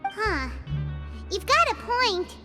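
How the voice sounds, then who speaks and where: A woman voicing a small childlike fairy companion in a video game, squeaky, chirpy, blunt and curious. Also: very animated, takes it slowly, music in the background, full character voice. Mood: puzzled. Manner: refers to herself in third person. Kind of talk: animated video game dialogue.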